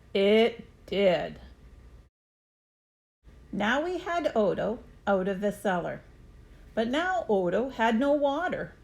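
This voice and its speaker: A woman reading a children's story aloud expressively, with deliberate, dramatic emphasis. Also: medium pitch, gravelly, darkish tone. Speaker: storybook reader.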